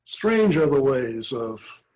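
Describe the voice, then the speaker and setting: A man, a minister, giving an informal talk on church history, thoughtful and halting with many pauses. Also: medium pitch, big pitch swings, a little mellow, low-quality recording.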